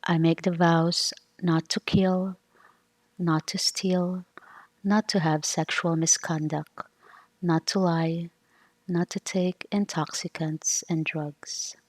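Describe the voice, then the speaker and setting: A woman meditation leader giving a scripted devotional recitation in solemn, deliberate phrases. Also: lowish voice, flat delivery, no room sound, low-quality recording.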